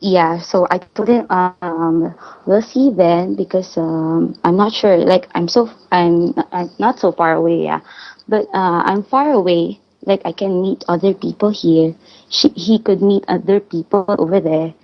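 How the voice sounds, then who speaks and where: A woman in her twenties from the Philippines calling an advice show, halting and hesitant, with many restarts. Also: on the low side, no room sound. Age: 20s. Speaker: caller. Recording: dull dry phone-line sound.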